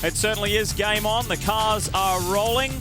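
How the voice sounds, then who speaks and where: A man doing lead commentary for a televised sports car race, polished and building excitement. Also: sits high, normal speed, music in the background. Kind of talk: broadcast motorsport commentary.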